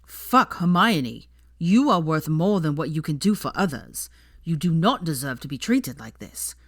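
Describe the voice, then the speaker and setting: A woman voicing a fierce, protective young wizard man, speaking forcefully in snarled, clipped commands in audiobook dialogue. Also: low voice, very animated, measured pace, dry recording, studio quality, exaggerated voice. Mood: intense, commanding.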